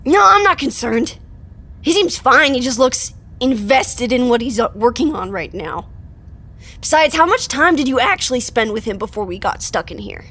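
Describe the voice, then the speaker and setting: A woman's voice: on the high side, big pitch swings, moderate pace, pushed and bright, heavily acted. A woman in an audio drama playing a brash, unworried colleague who talks bluntly and casually.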